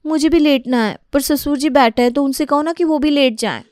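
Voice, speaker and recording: A young daughter-in-law in an animated family tale, acted by a woman narrator. Her voice jumps high and shrill. She complains and pleads with a sarcastic edge in a dry studio sound. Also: fairly quick, dry recording, close to an everyday voice. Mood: whining.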